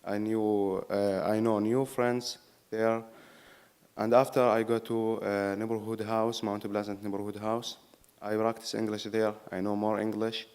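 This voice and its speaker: A young man from Syria with a Syrian Arabic accent speaking simple, halting English at a meeting. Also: on the low side, little pitch movement, darkish tone, clear, resonant tone. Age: young adult. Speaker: youth program client speaking at a meeting.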